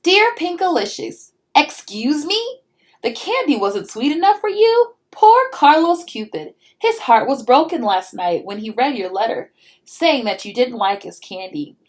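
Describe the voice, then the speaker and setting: A woman's voice: high voice, very animated, a little slow. A woman voicing a haughty male Easter Bunny in a storybook letter, indignant yet formally polite.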